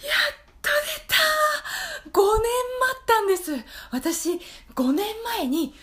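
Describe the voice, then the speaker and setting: A woman's voice: very high-pitched, expressive, slow pace, pushed and bright, pitched above the performer's own voice, exaggerated voice. A woman playing an anxious, jealous single woman of nearly thirty in an improvised radio drama, rambling emotionally and apologetically. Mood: desperate, relieved.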